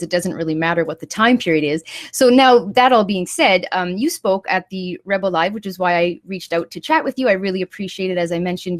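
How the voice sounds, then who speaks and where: A woman from Saskatchewan hosting a video-call interview, asking long, rambling questions full of "um". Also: mid-range voice. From Saskatchewan, Canada. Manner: rambling, chatty, with frequent um fillers. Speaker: YouTube interviewer.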